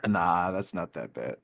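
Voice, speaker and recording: A man in his twenties, a guest musician on a video call, talking in a relaxed, rambling way with many fillers and easy laughs. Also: low voice, slow pace. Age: 20s. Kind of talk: casual interview chat.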